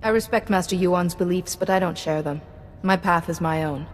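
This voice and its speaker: A woman playing a self-possessed young Jedi apprentice in a video game, speaking in a measured, firm way. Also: fairly low pitch, a little hiss or hum, close to an everyday voice. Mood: composed. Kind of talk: video game dialogue acting. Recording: echoey hall, music behind.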